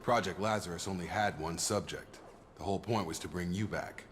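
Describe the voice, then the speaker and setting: A low-pitched American soldier played by a male actor, loyal and steady, talking in a matter-of-fact, informal way. It is game dialogue with clear background noise. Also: a little fast, close to an everyday voice. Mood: matter-of-fact, explaining.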